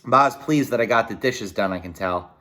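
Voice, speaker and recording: A man reading a children's novel aloud in a folksy, expressive way, with short explanatory asides. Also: on the low side, normal speed. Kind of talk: read-aloud narration with brief explanatory asides.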